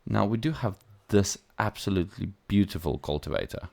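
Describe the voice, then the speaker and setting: A man's voice: fairly low pitch, expressive, brisk, creaky, darkish tone. A man who is not a native English speaker gives casual gameplay commentary as a let's play host, thinking aloud with playful asides.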